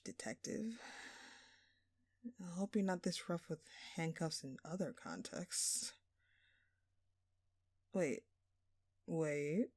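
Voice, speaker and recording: A woman's voice: on the low side, no echo, heavily acted. A woman playing a smug, obnoxiously flirtatious thief in an audio roleplay, drawling and teasing in a sultry, mock-innocent way. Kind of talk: audio roleplay acting addressed to the listener.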